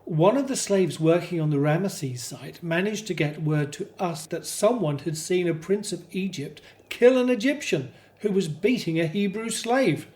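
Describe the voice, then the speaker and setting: A man's voice: sits high, lively intonation, normal speed, hardly any pauses, darkish tone. A man playing a hopeful Hebrew slave and family man in a scripted radio drama, telling things earnestly. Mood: eager, serious.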